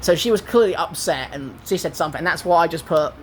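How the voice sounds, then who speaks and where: Squeaky-voiced young English man. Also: fast, a little hiss or hum. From England. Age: 20s. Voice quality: high, squeaky voice. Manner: aggrieved, rambling retelling. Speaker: gaming vlogger. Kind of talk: casual commentary.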